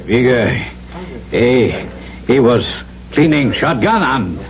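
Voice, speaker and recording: Halting, slow, evasive island barman. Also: mid-range voice, animated. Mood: nervous, hedging. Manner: halting, hedging, pidgin phrasing. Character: evasive, servile island barman with broken English. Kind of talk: old-time radio drama acting. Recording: muffled old radio broadcast, crowd behind.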